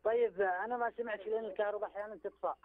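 Fast high-voiced man on a phone line. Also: fairly even intonation. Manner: hesitant, many fillers. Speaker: phone-in caller. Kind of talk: casual call-in chat.

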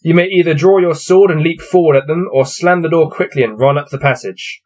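A man's voice: on the high side, fairly quick, darkish tone. Young man reading a gamebook aloud. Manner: amused, laughs often, counts out dice rolls. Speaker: reader playing a gamebook. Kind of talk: casual read-aloud with dice commentary. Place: small cluttered room with shelves, indoors.

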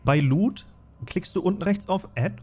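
A man's voice: high voice, normal speed, mellow, slightly rough. Instructive male game master. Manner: instructive, patient step-by-step explaining. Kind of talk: explaining tabletop game rules and narrating.

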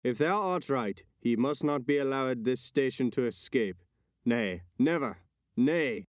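A man's voice: mid-range voice, lively intonation, unhurried, no room sound, studio quality, natural voice. A man playing a haughty, skeptical imperial commander in a Shakespearean dramatic reading. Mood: alarmed, commanding.

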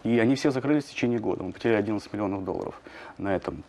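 A man, a journalist, answering in a studio interview, talking quickly with many hesitations and fillers. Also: fairly low pitch, a little mellow. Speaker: journalist guest.

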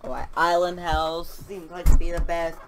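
A man's voice: a little high, little pitch movement, normal speed, over music. A man casually commenting on his game as he plays, rambling and chatty, with a slight lisp on his s sounds. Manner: rambling, chatty, self-aware about his mic. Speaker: gaming channel host. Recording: loud game voice chat behind. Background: game chatter from other players.

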